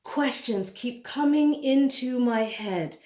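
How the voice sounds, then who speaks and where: A woman reads a picture book aloud for children at a slow, measured pace. Her phrasing is dramatic and deliberate, with words stretched out for emphasis. The recording has a noticeably roomy, echoing sound. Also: a little high, a little mellow. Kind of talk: expressive storybook read-aloud.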